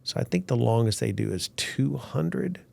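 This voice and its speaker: A man's voice: medium pitch, big pitch swings, normal speed, vocal fry, darkish tone. An older man hosting a podcast interview, chatting casually and drifting into stories about his grandkids. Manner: chatty, wanders into family stories. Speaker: podcast host. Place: Virginia.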